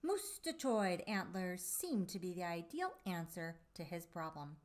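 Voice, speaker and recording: Female children's storybook reader. Unhurried, very melodic delivery, theatrical emphasis, dramatic pauses. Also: fairly low pitch, big pitch swings. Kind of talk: expressive read-aloud of a children's picture book.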